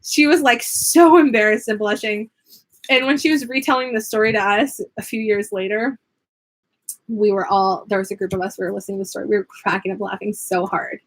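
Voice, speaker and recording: A woman's voice: medium pitch, fairly even intonation, brisk, low-quality recording. A young American woman chatting casually while making crafts, laughing often and drifting into tangents. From United States. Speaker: craft vlogger and online teacher.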